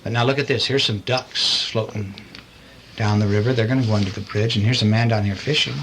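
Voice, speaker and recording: A man's voice: fairly low pitch, fairly even intonation, a little fast. A man, a father, reading a bedtime storybook to children with playful asides and acted-out touches. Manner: playful, acts out lines and sound effects. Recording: noisy amateur home recording.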